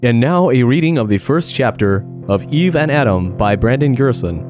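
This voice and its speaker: A man's voice: mid-range voice, animated, moderate pace, a little mellow, faint music behind. A man casually hosting a podcast and making announcements. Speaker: podcast host.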